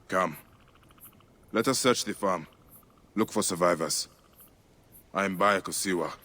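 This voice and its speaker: Game lead: brooding male avenger, low gravelly tone with hard edge, grave intensity, score behind. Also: unhurried, bright, forceful tone, exaggerated voice. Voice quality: gravelly, husky. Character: brooding, vengeful Egyptian warrior lawman, bereaved father. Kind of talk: dramatic video game acting.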